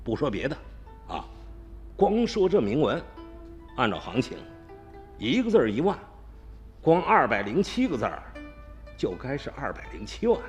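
A man's voice: mid-range voice, wildly swooping pitch, normal speed, pauses often, lo-fi sound, faint music behind. A man playing a sly tomb-raider boss. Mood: scheming. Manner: sly, haggling, confident. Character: scheming male tomb-raider gang boss, middle-aged. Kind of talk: radio drama acting.

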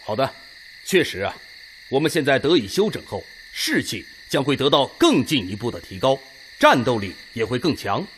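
An actor playing a confident, analytical Red Army officer who gives an earnest, orderly briefing in a Chinese radio drama. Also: male voice, medium pitch, very animated, normal speed, fairly bright tone, slight background noise.

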